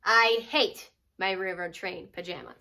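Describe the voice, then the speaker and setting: A woman's voice: lowish voice, a little slow, pushed and bright. A woman reading a children's picture book aloud as a teacher would, expressively and with dramatic emphasis on key words.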